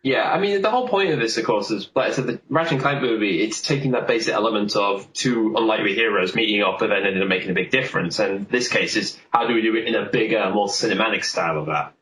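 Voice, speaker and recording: Male podcast host, lowish voice, roomy echo, explains things at rambling length in casual chat. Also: fast, hardly any pauses, fairly bright tone. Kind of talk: casual film discussion. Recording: roomy, echoey sound.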